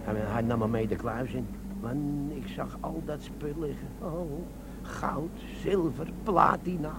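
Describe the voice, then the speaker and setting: An actor playing a cheeky, scheming older army sergeant in a comic radio play, with a gravelly, colloquial delivery. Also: male voice, medium pitch, unhurried. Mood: conspiratorial. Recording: noisy old radio drama recording.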